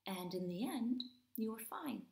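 A woman teacher in her thirties reads to young children. Her pitch moves widely and she takes her time, dramatic and deliberate, sometimes hushed. It is recorded in an echoey room at home. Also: lively intonation, creaky. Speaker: English teacher. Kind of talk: expressive read-aloud for young children. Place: small room at home, indoors. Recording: roomy indoor echo.

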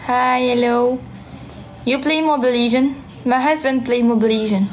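A young woman from France with a French accent, hosting a live phone stream and answering viewer comments in a soft, friendly way. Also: a little high, steady tone, moderate pace, rough recording. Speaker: livestream host. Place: small room indoors. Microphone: phone held at arm's length.

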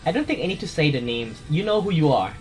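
A man with a Thai accent, hosting his gaming channel in a casual voice-over chat, soft-spoken and a little hesitant. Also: a little high, big pitch swings, fast talker, soft background music.

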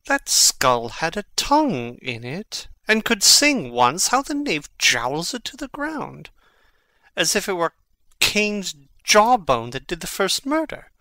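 Young tragic prince acted by a man, Shakespeare audio drama, wry and theatrical, bright forward tone, dry recording. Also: sits high, wildly swooping pitch, slow, dry recording, heavily acted. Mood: wry, indignant. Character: brooding, sardonic young Danish prince.

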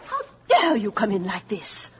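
Stern, swooping-voiced indignant housekeeper. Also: female voice, mid-range voice, huge pitch swings, a little slow. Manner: stern, clipped, haughty. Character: indignant, stern housekeeper guarding a professor's study. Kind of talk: 1950s radio drama acting. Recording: dull, noisy 1950s radio recording.

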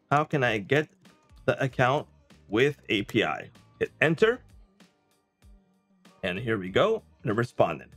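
Casual man demoing software over background music. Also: medium pitch. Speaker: presenter of software review videos. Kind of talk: casual software demo to camera. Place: small indoor home studio.

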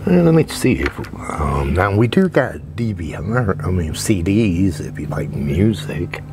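A man, whispering softly and haltingly in an ASMR librarian roleplay, often murmuring little affirmations. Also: low-pitched, very animated. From United States. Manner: halting, rambling, repeats soft affirmations. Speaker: ASMR performer playing a librarian. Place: outdoors at a metal picnic table under a shelter. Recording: noisy outdoor recording with traffic-like hum.

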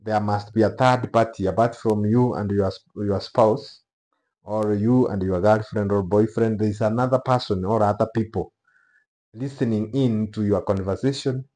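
A middle-aged man with a Kenyan accent giving unscripted news commentary to camera, speaking haltingly in short phrases. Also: fairly low pitch, dark tone. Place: small office room, indoors.

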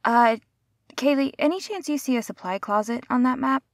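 A woman's voice: high-pitched, animated, normal speed, dry recording, clean studio sound. A woman playing a caring, flustered young rescuer in an intimate audio roleplay, speaking in a hesitant, stammering, soothing way. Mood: gentle, practical.

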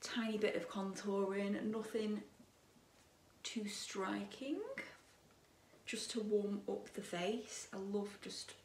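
A young woman in her twenties chatting while she does her makeup at a dressing table, gossipy and opinionated, her voice a bit croaky. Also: medium pitch, fairly even intonation, takes it slowly, reverberant, distant mic, background noise. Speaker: beauty vlogger. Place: bedroom dressing table with lit mirror, indoors.

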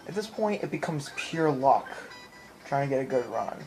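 A man giving casual let's play commentary in an excitable way, often repeating his phrases. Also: mid-range voice, normal speed, slight background noise. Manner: excitable, repeats phrases, mimics game sounds. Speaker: video game player and commentator. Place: indoor room at home, in front of a TV. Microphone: handheld camera or phone mic. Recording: handheld camera, game music in background.

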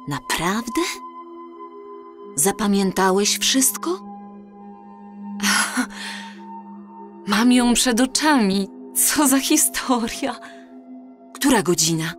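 A woman playing an earnest married time traveler in an audio drama, speaking deliberately. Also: medium pitch, music in the background. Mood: awed.

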